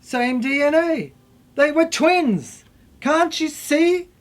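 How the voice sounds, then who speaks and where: A high-pitched, unhinged mad scientist played by a woman rambles obsessively in a theatrical monologue. The delivery is slow and fragmented, circling back and repeating itself like someone confined in an asylum. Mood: frantic, insistent. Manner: stammering, repetitive, fragmented delivery.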